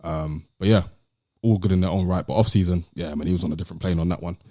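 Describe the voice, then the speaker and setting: A man's voice: low-pitched, fast, mellow, no room sound, studio quality. A man with a British London accent, hosting a podcast and casually discussing music with friends. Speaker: podcast host.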